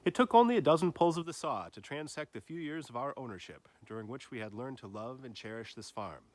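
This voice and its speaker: A man reading a literary nature essay aloud like an audiobook, with measured, deliberate phrasing, as a teacher would for a class. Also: medium pitch, animated, no echo.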